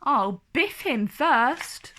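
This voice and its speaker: Woman doing a bratty boy prince for a kids book, high voice, boastful, whiny, blustery. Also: lively intonation, very slow, bright, forceful tone, exaggerated voice. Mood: bored, boastful. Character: young bullying prince, brash, boastful, petulant boy. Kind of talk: children's storybook character voice.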